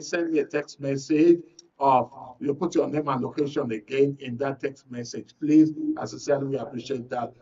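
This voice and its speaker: High-voiced Nigerian man hosting, dull sound. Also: distant mic. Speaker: radio and TV show host. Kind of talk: live talk show hosting. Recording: dull audio, away from the mic.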